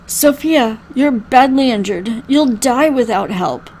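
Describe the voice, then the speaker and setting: Lady reading a worried medic guy in a story game, urgent and earnest, high voice. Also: expressive, slow, a little mellow, slight background noise, rough recording. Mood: grave, anxious. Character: concerned male medic, urgent and anxious.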